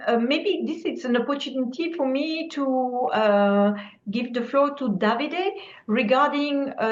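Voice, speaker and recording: A woman moderating an online meeting, hesitant with many fillers as she hands the floor to speakers. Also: high voice. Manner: hesitant, filler-heavy, handing over the floor. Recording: echoey, dull lo-fi audio.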